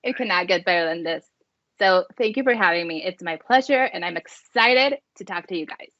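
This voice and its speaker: A woman's voice: lowish voice, animated, brisk, a bit bright, dry recording. Chatty woman in a dry, muffled recording. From Mexico and the USA. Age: 40s. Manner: chatty, joking, frequent "like". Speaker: podcast guest, soccer fan. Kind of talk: casual chat in Spanglish.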